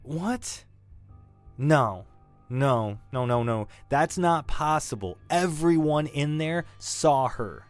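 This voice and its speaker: An actor playing a shaken young male robbery suspect in an audio drama, defensive and grieving, halting and stammering as he talks. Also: fairly high pitch, animated, dry recording, soft background music. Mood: desperate insistence. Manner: halting, stammering, repeating himself. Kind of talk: naturalistic audio drama acting.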